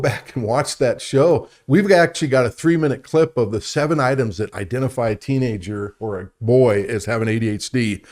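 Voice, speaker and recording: This is a man co-hosting a talk show on science and faith. He chats in an enthusiastic, conversational way. His voice sits at a medium pitch for a man. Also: a little mellow. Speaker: radio show co-host.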